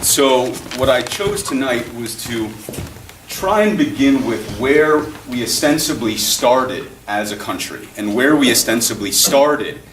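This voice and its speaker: A man, a public defender, giving a relaxed, plain-spoken public lecture. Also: medium pitch, a little slow, a little hiss or hum. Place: school hall. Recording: echoey room, distant mic.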